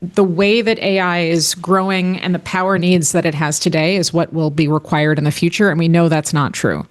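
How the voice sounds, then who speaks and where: Middle-aged woman from indiana, kinda low voice, earnest, thinks out loud on a panel. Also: little pitch movement, normal speed. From Northwest Indiana, US. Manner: earnest, thinks aloud with many restarts. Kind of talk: casual panel discussion.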